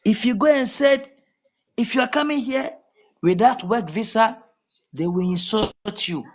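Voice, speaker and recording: A man from Ghana with a Ghanaian accent, talking as a guest in a casual call-in interview, halting and repeating his words. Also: very high voice. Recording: muffled lo-fi call audio.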